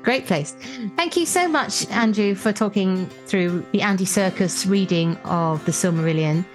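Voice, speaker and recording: A woman's voice: mid-range voice, normal speed, faint music behind, low-quality recording. A woman, speaking as a podcast host in a conversational style. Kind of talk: conversational podcast interview and promo.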